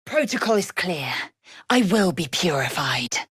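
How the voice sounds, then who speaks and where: Game casting: stern, haughty alien warrior woman, very breathy rasp, measured pace, formal and commanding. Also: fairly low pitch, sing-song, a bit bright, no echo, studio quality, exaggerated voice. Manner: clipped, imperious, formal phrasing. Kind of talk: dramatic fantasy video game voice acting.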